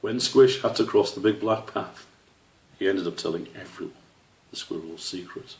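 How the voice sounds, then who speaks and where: A man narrating a children's storybook in a measured, expressive way, as an author reading aloud. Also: low-pitched. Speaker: author reading his own book. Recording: roomy echo, distant microphone.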